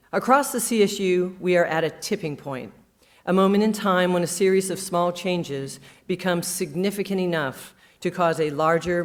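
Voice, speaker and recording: A woman opens a university staff day with formal remarks read from a script. She goes on to introduce the first speaker. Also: lowish voice. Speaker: conference host. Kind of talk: prepared opening remarks.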